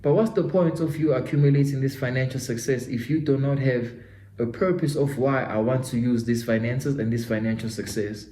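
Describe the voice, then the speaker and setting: Mellow-voiced young South African man. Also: medium pitch, steady tone, a little fast. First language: Zulu. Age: 20s. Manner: earnest, talks straight to the listener, calls him "my guy". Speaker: self-development vlogger. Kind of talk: motivational storytelling vlog. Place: his home studio. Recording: roomy echo.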